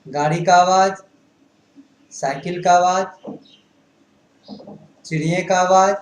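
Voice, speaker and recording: A man's voice: high-pitched, steady tone, slow pace. A man leading a guided yoga relaxation, giving instructions in short, repetitive phrases. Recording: roomy, echoing sound.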